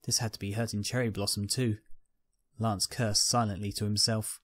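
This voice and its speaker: A man's voice: fairly low pitch, level tone, moderate pace, dry recording, clean studio sound. A man narrating a scripted fan fiction story.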